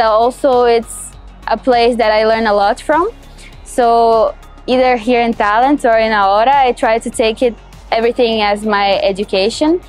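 A young woman from Brazil with a Brazilian Portuguese accent, a culinary student answering interview questions outdoors in a cheerful, laughing way with halting restarts. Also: on the high side. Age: young adult. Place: outdoors on a city terrace.

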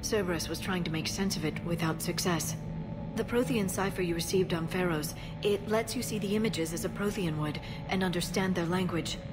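A woman voicing an earnest young female alien scientist who explains things calmly, in a video game cutscene. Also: on the low side, fairly quick, lightly acted. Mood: puzzled. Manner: calm, explanatory. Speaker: archaeologist companion. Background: game music.

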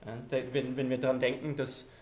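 A man's voice: mid-range voice, monotone, a little fast, darkish tone. A man, giving a loose, conversational keynote talk with many ums and restarts in a lecture hall. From Austria. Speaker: keynote speaker. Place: lecture hall with projection screen. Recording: echoey lecture hall, faint noise.

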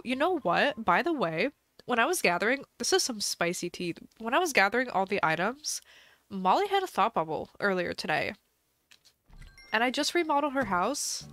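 A woman's voice: fairly high pitch, sing-song, moderate pace, a bit bright. A woman live streaming a game in casual chat, chatty and giggly, her voice slightly stuffy from a cold. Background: faint background music.

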